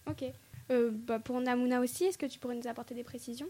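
A woman with a fairly high voice interviews guests on a casual radio segment. She asks gentle, hesitant questions and often pauses on small fillers. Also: fairly even intonation.

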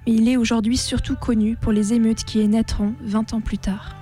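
A woman's voice: on the high side, level tone, normal speed, over music. A woman reading scripted documentary narration.

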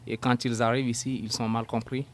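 A young man from Sudan in his twenties giving an earnest personal testimony as an interview guest, halting and searching for words, turning forceful when indignant. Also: medium pitch, level tone, slight background noise. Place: at a housewarming gathering.

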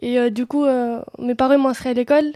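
High, mellow young woman. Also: steady tone, quick pace, dry recording, clean studio sound. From Romania. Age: young adult. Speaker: youth contributor giving testimony. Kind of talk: casual personal storytelling.

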